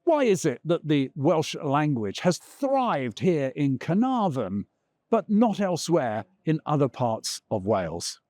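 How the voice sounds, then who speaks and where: A man's voice: a little high, big pitch swings, measured pace, darkish tone, no room sound. A man presenting a news feature, narrating and interviewing on location. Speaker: reporter and presenter. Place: outdoors at a castle.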